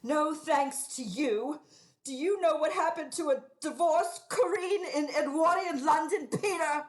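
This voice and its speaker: A woman's voice: sits high, a little slow. A woman playing a melodramatic, vengeful scorned ex-wife in a radio play, with haughty, theatrical delivery. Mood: haughty, bitter. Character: vengeful, melodramatic scorned ex-wife posing as respectable society lady.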